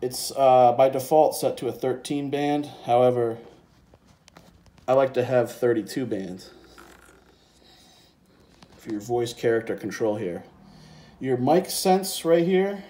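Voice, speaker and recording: A man's voice: mid-range voice, fairly even intonation, a little slow, long pauses, mellow. A man giving an informal, laid-back hands-on demonstration, thinking aloud as he goes. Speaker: presenter of a music gear tutorial. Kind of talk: casual hands-on software and synth demonstration. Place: indoors beside a synthesizer keyboard. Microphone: handheld phone and separate handheld microphone.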